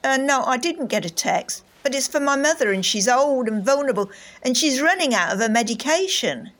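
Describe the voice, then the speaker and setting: An actress playing a calm, polite middle-aged shopper who quietly steals. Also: female voice, high voice, a little fast. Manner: calm, polite, matter-of-fact. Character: cool sly female shopper, forties, shoplifter.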